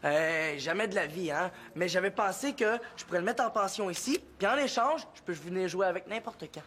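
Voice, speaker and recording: An actor playing an earnest, eager young friend in a sitcom. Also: male voice, high-pitched, fairly quick, fairly bright tone, a little hiss or hum. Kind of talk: sitcom acting.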